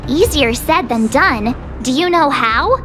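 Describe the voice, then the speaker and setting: Cutesy excitable teen girl for an anime game, super high, unhurried pace, music behind. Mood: puzzled. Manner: animated, cutesy, exclamatory. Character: perky, teasing, excitable teenage girl adventurer. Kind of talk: anime-style video game voice acting. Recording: loud game music underneath. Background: game cutscene music.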